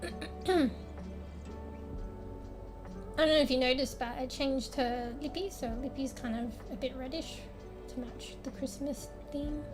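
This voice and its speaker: A young woman streamer, a little raspy today, chatting casually at home into a desk mic, rambling, laughing a lot and swearing now and then. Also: high-pitched, unhurried, long pauses. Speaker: game streamer. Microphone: desk microphone with fan blowing on it. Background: soft background music.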